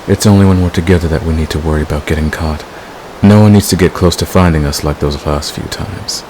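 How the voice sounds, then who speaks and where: Over steady hissing, stream-like outdoor noise comes a man acting as a fantasy villain with an extremely low voice. He is smug and seductive, murmuring to a secret lover in unhurried, teasing ASMR roleplay. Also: vocal fry, poor audio. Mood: calm, reassuring. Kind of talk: romantic audio roleplay, intimate ASMR acting.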